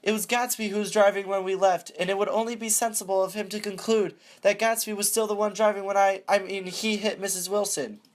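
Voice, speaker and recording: An evasive young socialite, played by a young woman answers in a breezy, guarded way in a student radio play. Her voice sits at an ordinary pitch. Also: fairly even intonation, a little fast, hardly any pauses, close to an everyday voice. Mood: guilty. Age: young adult.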